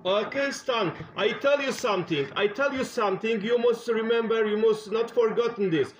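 A man's voice: sits high, normal speed, slight background noise, poor audio. A man from Poland with a Polish accent chatting as a radio operator, repeating phrases and numbers for emphasis. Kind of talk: radio contact chat. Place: indoors at a radio transceiver.

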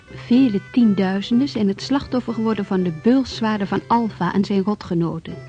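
A woman narrating a historical radio play in a grave, measured way. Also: medium pitch, mellow, soft background music. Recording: dull, dry vintage radio recording.

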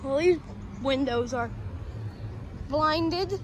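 Woman filming on her phone outside, kinda high voice, dry little comments, cars and street noise all around. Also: extremely slow. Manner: offhand, dry running commentary. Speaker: urban explorer vlogger. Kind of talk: casual walkthrough narration. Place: outdoors around an abandoned restaurant building. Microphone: handheld phone. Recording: outdoor phone audio with clear background noise.

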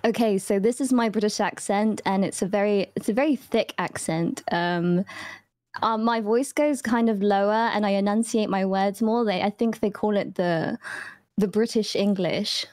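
A woman's voice: a little mellow, no echo, studio quality, natural voice. A woman putting on a mock posh British voice. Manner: slow, lowered, over-enunciated.

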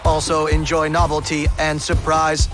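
An actor playing a stiff, literal, formal robot in a video game cutscene. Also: male voice, fairly high pitch, over music, lightly acted. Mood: matter-of-fact. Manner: monotone, deliberate, formal.

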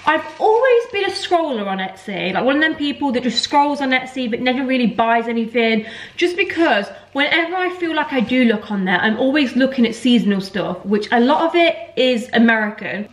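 A young woman from England, vlogging in a chatty, gushing way to a camera held at arm's length, sounding nasal from a blocked nose. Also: a little high. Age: young adult. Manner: chatty and gushing, talks while eating. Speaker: lifestyle vlogger. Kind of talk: casual chat to camera. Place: parked car, then a home kitchen. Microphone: camera mic at arm's length.

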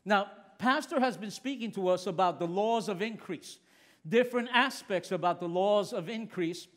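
A middle-aged man of Puerto Rican heritage preaching in a large church, earnest and excitable, often restarting his sentences when he gets fired up. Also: high voice, moderate pace. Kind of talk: sermon. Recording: long reverb of a big hall.

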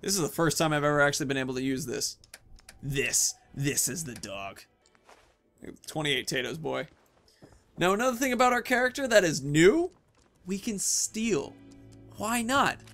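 A man's voice: fairly high pitch, sing-song, moderate pace, long pauses, fairly bright tone, soft background music. A man in his thirties giving casual, playful gameplay commentary, rambling and talking to himself as he plays. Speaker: gaming video host.

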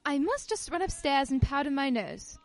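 A woman's voice: sits high, expressive, a little slow, soft background music. A woman acting an anxious, polite society wife in a radio play. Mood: worried.

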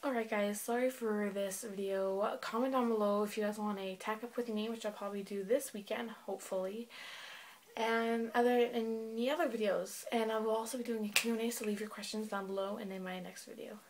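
A woman's voice: medium pitch, steady tone, a little fast, poor audio. Teen girl vlogger, chatty and rambling, mid-range voice, cheap webcam mic with faint hiss in a small bedroom. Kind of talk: casual show-and-tell vlog. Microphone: built-in webcam mic.

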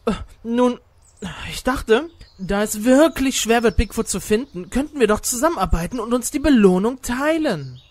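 A man's voice: extremely high pitch, animated, normal speed, darkish tone. A man dubbing a smug, scheming young rival hunter in a comedy, theatrical and taunting in his delivery. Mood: sly. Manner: theatrical, taunting, whiny when angry. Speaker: voice actor in a fan dub. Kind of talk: exaggerated comedy fan dub.

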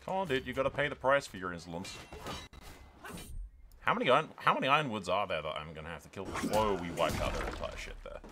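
A man's voice: fairly low pitch, expressive, fast, noisy background. A man hosting a gaming video, mixing dramatic role-play storytelling with sweary, casual strategy game commentary, in a theatrical manner.

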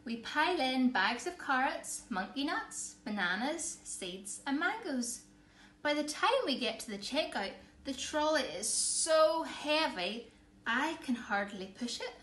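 A woman reads a children's story with theatrical emphasis, taking her time over the words. Her voice is fairly high, and the room around her is echoey with faint background noise. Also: expressive, measured pace, a bit bright. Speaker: storyteller reading a picture book. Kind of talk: expressive read-aloud for children. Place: small living room, indoors. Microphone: camera or phone a short distance away.

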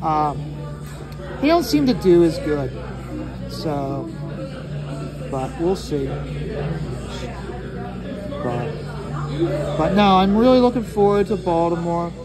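Man, high voice, rambling casual artist chat, halting and repetitive, music behind. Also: sing-song. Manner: hesitant, halting, repeats phrases. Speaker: artist hosting a drawing livestream or video. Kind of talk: casual rambling talk while drawing.

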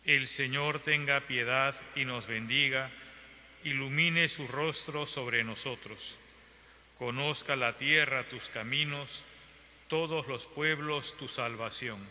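A man reading scripture aloud at Mass as lector, in a measured, solemn way. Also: medium pitch, steady tone, sharp tone. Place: large church. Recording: church broadcast with long reverb.

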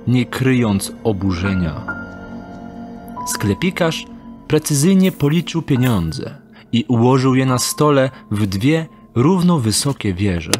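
A man narrating an audio drama in a measured, descriptive way. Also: medium pitch, sing-song, over music.

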